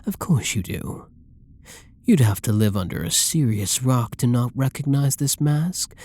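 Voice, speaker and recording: Man playing a slow, husky, taunting masked villain. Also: medium pitch, dark tone, heavily acted. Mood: arrogant. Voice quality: breathy, husky, often near a whisper. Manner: taunting, commanding, condescending. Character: flirty, menacing male masked villain holding a hostage. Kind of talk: intimate ASMR roleplay audio drama.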